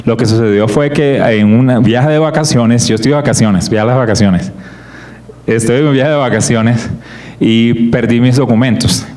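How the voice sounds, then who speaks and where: A middle-aged man from Barranquilla with a Colombian coastal accent, a guest speaker giving a conversational church talk with frequent pauses and restarts. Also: medium pitch, a little mellow. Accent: Colombian coastal Spanish.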